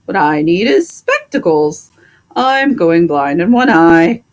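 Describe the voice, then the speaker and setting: A woman voicing a plain-spoken, indignant male plow horse with drawn-out, emphatic complaints in a storybook. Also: on the low side, expressive.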